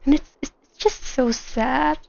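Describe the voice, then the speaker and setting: A woman giving casual, rambling live commentary while streaming a game, in an animated manner. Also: sits high, takes it slowly, creaky, dull audio. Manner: animated, rambling, acts out imagined lines. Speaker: streamer, gamer.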